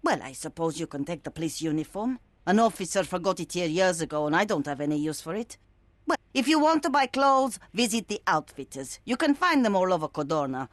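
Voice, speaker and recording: Fussy shopkeeper woman, animated, swooping pitch. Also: a little fast, no echo. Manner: fussy, excitable. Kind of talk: video game voice acting.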